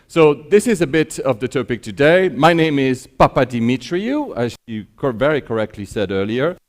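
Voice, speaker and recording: A man's voice: medium pitch, sing-song, moderate pace. A middle-aged man from Switzerland gives an informal keynote talk in a conversational, wry, self-deprecating manner, often posing rhetorical questions. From Geneva, Switzerland. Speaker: conference keynote speaker. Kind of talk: informal keynote presentation on stage. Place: conference stage, addressing an audience.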